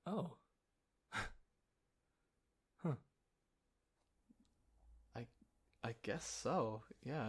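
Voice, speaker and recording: A man acting an easygoing, playful young guy in a casual roleplay audio, talking in a halting, chuckling, naturalistic way with a friend. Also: medium pitch, darkish tone. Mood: relaxed, mildly resigned. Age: young adult.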